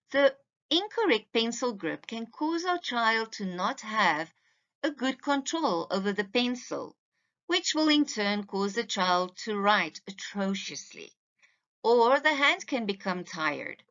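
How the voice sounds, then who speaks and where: A woman's voice: medium pitch, a bit bright. Woman teacher doing a slide talk for parents, takes her time, emphatic, bit muffled lo-fi audio. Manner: didactic, emphatic, addressing listeners directly. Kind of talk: slide presentation lecture, instructional.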